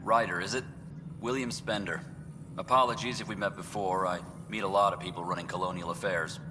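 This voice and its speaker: A man's voice: sits low, steady tone, fairly quick, natural voice. An actor playing a smug, evasive male bureaucrat in a video game, talking in a self-important, dismissive way. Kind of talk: scripted game dialogue. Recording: reverberant hall with clear background noise.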